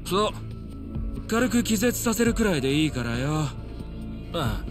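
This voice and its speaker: An actor playing a cocky, mocking young punk livestreamer with slangy, taunting speech in a video game. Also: male voice, high-pitched, very animated, unhurried, music in the background. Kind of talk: dramatic video game acting.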